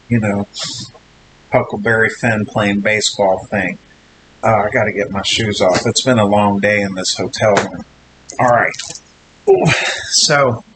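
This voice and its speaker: A man with a nasal, slightly low voice and a drawl talks loosely to his camera, circling back and restarting his sentences as he goes. He is recording in a hotel room, and a steady hiss sits under his voice. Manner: rambling, chatty, frequent restarts and fillers. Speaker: vlogger, trainee truck driver. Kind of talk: casual vlog talk to camera.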